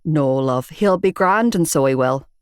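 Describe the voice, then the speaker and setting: A woman voicing a big, older bearded male gangster with a Belfast accent, gruff but reassuring, in a storybook reading. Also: low voice, lively intonation, unhurried, no room sound, clean studio sound, voice pushed down.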